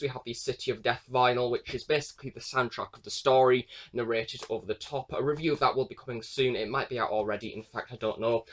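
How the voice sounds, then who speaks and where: A man's voice: mid-range voice, a bit bright. Young British man presenting a collection. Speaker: collector and reviewer presenting the vlog. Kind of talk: informal collection showcase to viewers.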